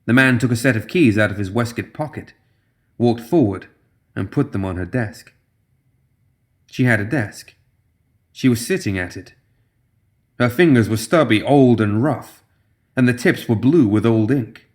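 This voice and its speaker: A man's voice: medium pitch, animated, a little slow, pauses often, a little mellow. A man reading scripted fantasy fiction as an audiobook narrator.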